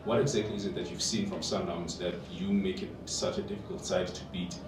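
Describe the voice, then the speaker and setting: A man asks a roundabout press question in a low voice. He is off the microphone, with strong room echo. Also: fairly even intonation, brisk. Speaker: reporter. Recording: echoey noisy room, distant mic.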